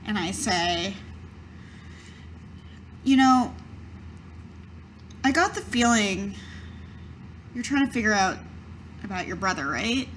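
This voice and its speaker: A woman's voice: medium pitch, animated, takes it slowly, long pauses, fairly bright tone, poor audio. Conversational woman roleplaying, faint background noise. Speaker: tabletop game player. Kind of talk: improvised in-character roleplay in own voice.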